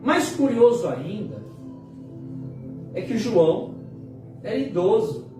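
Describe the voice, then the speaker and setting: A Brazilian man in his late fifties preaching a sermon as a pastor, using dramatic pauses and repetition for emphasis. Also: high voice, sing-song, warm tone. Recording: very echoey hall, distant mic, music behind. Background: music.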